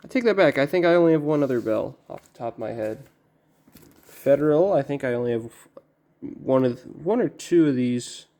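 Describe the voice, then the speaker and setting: A man giving casual, halting unboxing commentary as a collector, musing to himself in a low-key way. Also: expressive, warm tone. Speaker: record collector presenting an unboxing.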